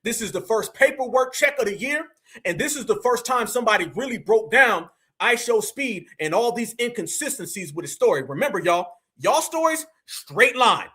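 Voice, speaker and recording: A man's voice: sits high, animated, moderate pace, bright, forceful tone. An American man hosting a live opinion stream, speaking colloquially and emphatically to his audience, with repetition and rhetorical questions. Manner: colloquial, addresses listeners as family. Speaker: livestream host.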